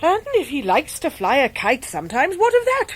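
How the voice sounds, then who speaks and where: An actress playing a brusque, eccentric older English great-aunt in a radio drama, blunt, emphatic and fiercely protective. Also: female voice, high-pitched, wildly swooping pitch, normal speed. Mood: indignant, fond. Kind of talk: 1990s British radio drama acting.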